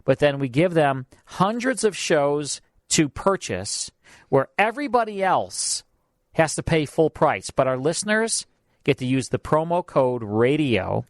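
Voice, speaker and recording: A man's voice: medium pitch, no room sound. A man hosting a radio show, chatting casually with his co-host and reading promos, with plenty of fillers, in a studio. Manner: chatty, repeats himself, fillers. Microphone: studio mic.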